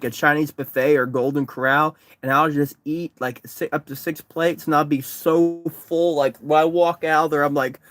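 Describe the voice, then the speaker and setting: Male streamer, higher-pitched, dry close phone sound, tired, rambling chatter. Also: fairly bright tone, no room sound. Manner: rambling, repeats himself, frequent restarts. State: exhausted, sunburned. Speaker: livestreamer, distance swimmer. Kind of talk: casual livestream chat reading comments. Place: parked car.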